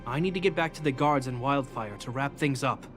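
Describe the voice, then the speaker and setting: An actor playing an earnest, dutiful young guard captain in a video game, composed and formal. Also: male voice, medium pitch, a little fast, close to an everyday voice. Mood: warm, sincere. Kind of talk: video game character dialogue. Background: game music.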